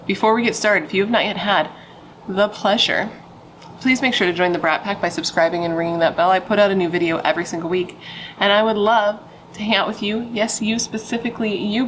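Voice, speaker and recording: A woman's voice: medium pitch, fairly even intonation, brisk, a little hiss or hum, low-quality recording. A young adult woman who is pregnant, telling true crime stories in a loose, playful and chatty way. She swears casually and adds dramatic asides. Her voice sits mid-range with faint room ambience behind it.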